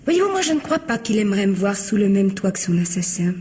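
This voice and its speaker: A woman's voice: medium pitch, very animated, fast talker, darkish tone, a little hiss or hum, lightly acted. An actress playing a vengeful widow who taunts her in-laws with composed, mocking politeness in a French radio mystery. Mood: taunting, triumphant.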